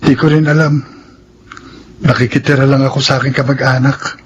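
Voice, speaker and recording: A man's voice: medium pitch, flat delivery, moderate pace, husky, natural voice. A man playing an earnest, thrifty and proud young groom-to-be in a radio drama. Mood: earnest, practical. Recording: dull, noisy radio drama recording with ambience.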